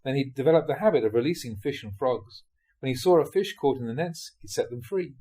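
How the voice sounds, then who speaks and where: A man reads a Buddhist teaching story aloud as a storyteller would. His mid-pitched voice moves around a lot, giving the narration a lively, animated delivery. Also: brisk. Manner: animated storyteller, acts out dialogue, often chuckles. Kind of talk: audiobook reading of dharma talk storytelling.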